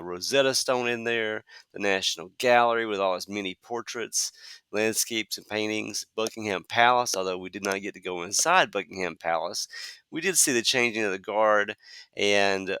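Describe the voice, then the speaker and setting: A man in his fifties hosting a solo podcast, talking conversationally with frequent "uh" fillers and a slightly hoarse voice from a cold. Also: on the low side, moderate pace, sharp tone, no room sound. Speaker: podcast host.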